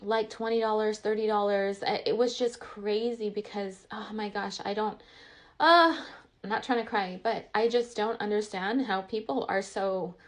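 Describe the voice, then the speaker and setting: A woman's voice: medium pitch, moderate pace. Emotional, venting woman telling personal stories. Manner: emotional, venting, says "like" constantly; passionate, emphatic. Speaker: online content creator. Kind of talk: candid personal storytelling.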